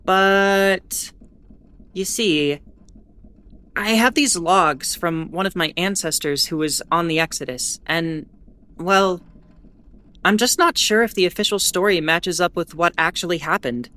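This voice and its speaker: A woman's voice: lowish voice, measured pace, pushed and bright. A woman playing a wry, defiant bulletin host aboard a spaceship, narrating a scripted audio drama in a conversational, explanatory way. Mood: conspiratorial, doubting. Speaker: host of an in-world radio bulletin.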